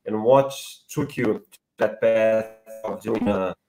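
A man's voice: on the low side, measured pace, creaky, mellow, soft background music, low-quality recording. A man in his thirties hosting a video call interview, asking friendly questions with fillers. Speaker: host. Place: home room, indoors. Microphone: laptop webcam on video call.

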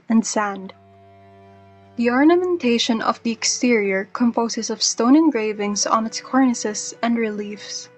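A young woman reading a scripted student presentation. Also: fairly high pitch. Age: young adult. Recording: dull lo-fi recording under music. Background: background music.

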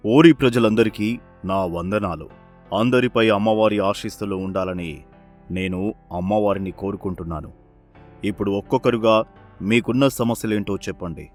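A man's voice: fairly low pitch, moderate pace, long pauses, faint music behind, natural voice. A man playing an authoritative, fatherly village headman in a moral-story cartoon, with a formal, oratorical manner. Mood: formal, benevolent.

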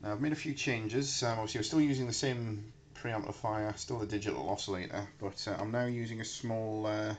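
Low-voiced man, noisy home video. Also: a little fast, few pauses. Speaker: hobbyist electronics builder presenting. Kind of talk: casual demonstration talk. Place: indoors at a cluttered workbench. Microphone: handheld camera microphone. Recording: noisy handheld camera in room.

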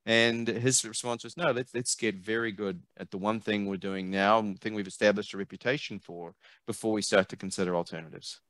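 New Zealand man, low and animated. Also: fairly quick, no echo. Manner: animated, emphatic, repeats words while thinking. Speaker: guest, marketing consultant. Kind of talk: conversational interview. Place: home office, video call. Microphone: headset mic.

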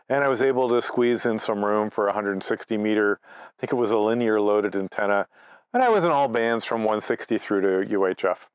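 A man's voice: medium pitch, clean tone, dry recording. Older man, dry close sound. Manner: conversational, anecdotal. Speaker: amateur radio presenter. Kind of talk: informal conference presentation, personal storytelling. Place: outdoors by trees at a cottage.

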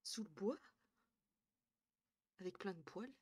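A young woman streaming from a small room at home, talking into her headset. She is tired and chatty, thinks out loud, swears lightly and often trails off into low murmurs. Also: animated, slow pace, breathy edge. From northern France. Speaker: Twitch streamer. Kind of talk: casual live-stream chat with viewers.

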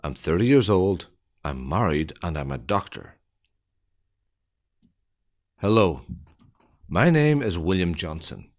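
A man reading an English listening quiz for learners, with deliberate, evenly spaced phrasing. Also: low voice, sing-song. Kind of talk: slow scripted quiz reading.

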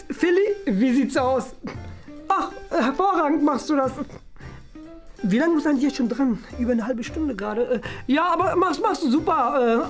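A man in his twenties playing a bossy, smug little brother in a children's web sketch, wheedling and demanding in an exaggerated way. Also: extremely high pitch. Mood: gloating. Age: 20s. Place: small furnished rooms, indoors. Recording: loud music behind the voice.

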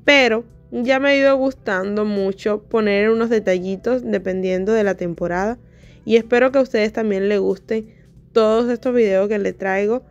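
A young adult woman narrating her home decor vlog in a chatty, relaxed way. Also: mid-range voice, normal speed, lo-fi sound. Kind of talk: casual voice-over vlog narration. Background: soft background music.

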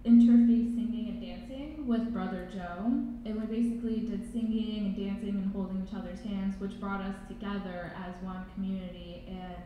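A woman's voice: mid-range voice, fairly even intonation, moderate pace, few pauses, warm tone. Young woman presenting in an echoey hall. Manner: casual, uses "like". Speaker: student panelist. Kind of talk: panel presentation. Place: large reverberant college hall. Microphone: handheld mic. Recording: echoey hall, dull sound, faint noise.

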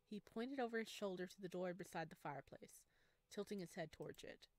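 A woman streaming a visual novel, reading narration aloud and reacting in an expressive, gushing way. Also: on the low side, a little fast, dry recording. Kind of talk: game playthrough with read-aloud narration and excited reactions.

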